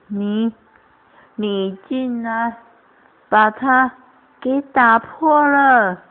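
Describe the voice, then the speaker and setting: A woman's voice: fairly high pitch, steady tone, extremely slow. A woman playing a doting monster mother in a children's radio play, in simple exclamatory play-acting. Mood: angry, shocked. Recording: tinny, band-limited amateur recording with noise.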